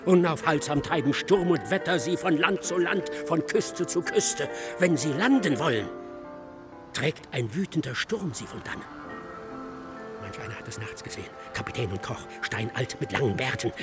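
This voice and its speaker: An actor playing an excitable, self-absorbed male scholar in a German radio drama, lecturing insistently and theatrically. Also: a little high, normal speed, over music. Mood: hushed, ominous. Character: excitable, self-absorbed male scholar expounding his dark ghost-ship thesis.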